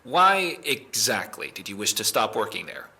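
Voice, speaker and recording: A man playing a firm, methodical police officer in an audio drama, speaking in a formal, deliberate, official manner. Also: on the low side, sing-song, sharp tone, full character voice. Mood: probing.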